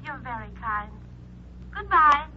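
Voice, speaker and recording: A muffled, faintly noisy old radio recording of a worried, apologetic middle-aged mother. Her voice is high and flustered, and she stumbles hesitantly over her words as if on a telephone. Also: big pitch swings, takes it slowly, heavily acted. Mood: pleading, grateful. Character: anxious, apologetic small-town mother of a grown daughter. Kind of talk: 1950s radio drama acting.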